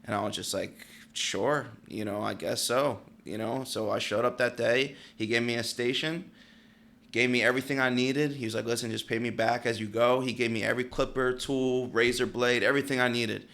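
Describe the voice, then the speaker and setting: A man's voice: mid-range voice, steady tone, normal speed, vocal fry, a bit bright. A man in his late twenties from Pennsylvania, a barber telling his story as a podcast guest, laid-back and full of "like" and "you know". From Pennsylvania, United States. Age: late 20s. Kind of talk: casual interview chat.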